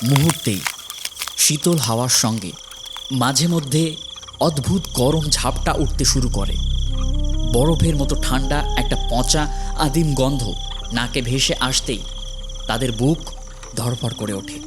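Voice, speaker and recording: A man with a mid-pitched voice narrates a ghost story dramatically. Music plays clearly behind him, with insect sounds. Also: unhurried, pauses often. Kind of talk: dramatic scripted horror narration.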